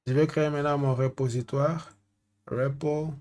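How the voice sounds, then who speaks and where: A man from Angola, a native Portuguese speaker with an Angolan accent, works as a data consultant and gives a hesitant screen-share tech tutorial, often pausing to search for French words. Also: fairly high pitch, steady tone, darkish tone.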